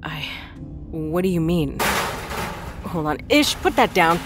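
A woman's voice: on the low side, big pitch swings, takes it slowly, music in the background. A woman acting in an audio drama as a sceptical, practical worker with a casual, clipped way of talking. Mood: brusque, distracted.

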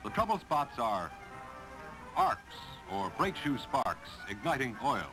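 An American man reading scripted 1950s instructional film narration in a clear, measured delivery. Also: mid-range voice, very animated, rough recording. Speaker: narrator of a railroad training film. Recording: 1950s film soundtrack with music behind.

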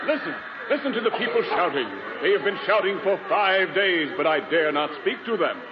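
A man's voice: high voice, expressive, a little fast. A man playing a stately king and formal announcer in a 1940s radio comedy. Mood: alarmed. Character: stately, worried old-time costume-drama king. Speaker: announcer and actor. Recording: dull, echoing, noisy old radio broadcast.

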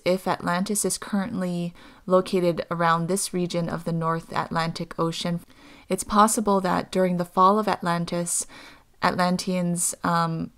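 A woman from Hawaii giving a channeled spiritual talk as a voice-over, halting often with fillers and restarts. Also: lowish voice, clear, resonant tone.

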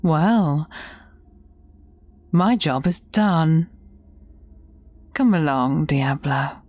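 Wicked fairy villainess for audio drama, super slow n mellow, gloating, almost whispery. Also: female voice, sits low, expressive, heavily acted. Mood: smug gloating. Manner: slow, deliberate, taunting, often near whisper. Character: menacing, gloating evil fairy sorceress, silkily cruel.

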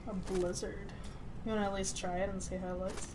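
A woman's voice: medium pitch, little pitch movement, a little fast. A woman streaming a co-op game, chatting casually and murmuring to herself as she reads things over. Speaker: co-op gaming streamer. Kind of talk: casual gameplay chat. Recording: clear background noise from game stream.

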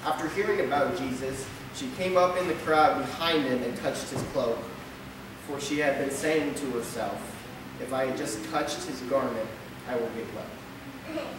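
A man's voice: mid-range voice, moderate pace. A young man delivers a sermon from his notes at the pulpit, reading steadily and tripping over a word now and then. His voice rings through a very echoey church sanctuary, picked up from a distant camera with a noticeable hiss behind it. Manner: reads steadily from a script at the pulpit, with occasional stumbles and filler. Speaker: lay preacher. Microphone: pulpit mic, camera at the back. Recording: echoey church hall recorded from afar.

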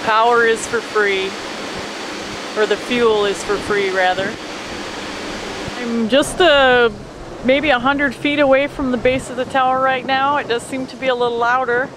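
A woman's voice: a little high, little pitch movement. Woman narrating outdoors in heavy wind. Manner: plain, unhurried, with hesitations. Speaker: amateur videographer. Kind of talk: casual walking narration. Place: outdoors at a wind turbine by a field. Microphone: handheld camera mic. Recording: wind-buffeted outdoor camera audio with loud engine noise. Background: diesel truck idling and wind.

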